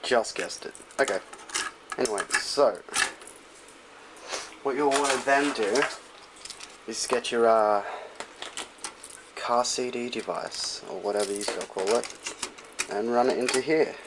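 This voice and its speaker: Casual man presenting over clear background noise. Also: medium pitch, a little slow, pauses often. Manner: offhand, loose, informal. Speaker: tutorial presenter. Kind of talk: casual hands-on tutorial chat. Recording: noisy home recording with clicks.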